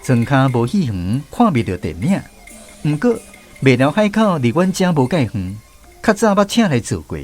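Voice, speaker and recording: A man's voice: medium pitch, big pitch swings, moderate pace, a little mellow. A man with a Taiwanese accent narrating a scripted radio story in a folksy way, drawing out his phrases. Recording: music bed under the voice. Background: background music.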